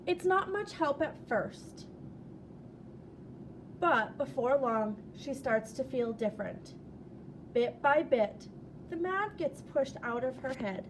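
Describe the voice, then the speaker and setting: Female storybook reader, notably high voice, expressive and deliberate delivery, draws out key words, faint noise behind. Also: rough recording. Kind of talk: children's storybook read-aloud.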